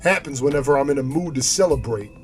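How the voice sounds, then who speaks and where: An actor playing a boastful, belligerent drunken gangster father with rough streetwise talk in a comic-book audio drama. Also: mid-range voice, animated, fast talker, soft background music, close to an everyday voice. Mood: boozy bragging.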